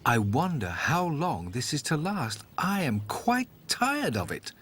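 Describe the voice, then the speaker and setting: A man's voice: on the high side, huge pitch swings, a little slow, a bit bright, a little hiss or hum, pitched above the performer's own voice, full character voice. A man voicing a fussy, weary, proud mother duck in a storybook tale, fretful and exclaiming. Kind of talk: storybook character voice.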